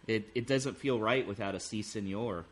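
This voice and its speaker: A man's voice: fairly low pitch, normal speed. A man from Texas who is a guest fan, talking in an easygoing, enthusiastic way during a casual interview over a video call. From Texas, USA.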